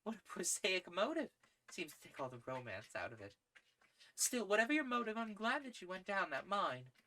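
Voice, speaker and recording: A woman's voice: medium pitch, big pitch swings, moderate pace, bright, forceful tone, voice pushed up. A reader voicing an idealistic, proud young woman who speaks in earnest, lofty tones. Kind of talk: audiobook character reading.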